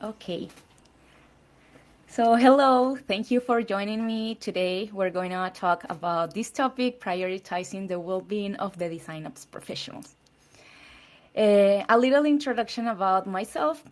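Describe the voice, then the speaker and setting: A woman's voice: medium pitch, a little slow. Peruvian woman speaking English with Latin American Spanish accent. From Peru. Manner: earnest, with slight non-native phrasing. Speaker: conference speaker. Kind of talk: presentation talk.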